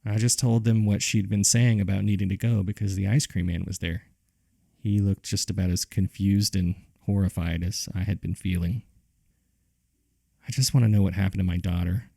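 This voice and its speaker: Male horror narrator, heavy vocal fry, dark mellow tone, scripted, measured delivery, subdued. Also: low-pitched, fairly even intonation, no room sound, clean studio sound. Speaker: host and narrator of a horror audio drama. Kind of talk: scripted narration, reading case files.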